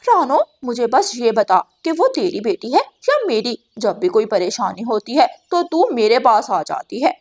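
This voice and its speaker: A woman voicing a greedy, haughty female crow with a sharp, scornful manner in a cartoon story. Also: a little high, big pitch swings, measured pace, hardly any pauses, full character voice. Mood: irritated, haughty. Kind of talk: Hindi animated moral-story dialogue.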